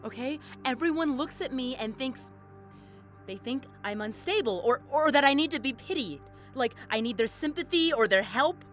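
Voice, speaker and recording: A woman plays an indignant police investigator in an audio drama. Her voice is high and sharp, emotional and confrontational, with a guarded, wounded pride. Light music runs behind her. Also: lively intonation, normal speed. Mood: hurt, defiant.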